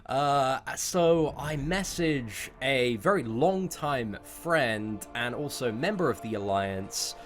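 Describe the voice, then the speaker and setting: A man's voice: mid-range voice, animated, takes it slowly, hardly any pauses, soft background music. A man in his twenties telling a personal story to viewers in a casual, rambling, sweary way, repeating words for emphasis. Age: 20s. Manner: informal, sweary, rambling, emphatic word repetition. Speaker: YouTube content creator.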